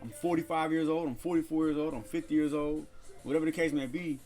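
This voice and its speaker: A man's voice: a little high, steady tone, fast, mellow, faint music behind. A man in casual podcast conversation who talks like a preacher, citing scripture and building to emphatic, repeated phrases. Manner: cites scripture.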